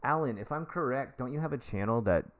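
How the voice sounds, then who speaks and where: A man's voice: medium pitch, lively intonation, fast. An American man, an English teacher, giving casual advice on a live stream in a rambling, jokey, chummy way, talking straight to his viewers. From United States. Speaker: livestream advice host.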